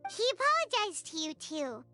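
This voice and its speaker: A woman voicing a tiny, perky, childlike fairy companion in a video game, with a squeaky voice. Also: sing-song, normal speed, sharp tone, soft background music, exaggerated voice. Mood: curious. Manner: speaks of herself in third person, perky. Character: tiny floating fairy companion, childlike, chirpy, curious girl.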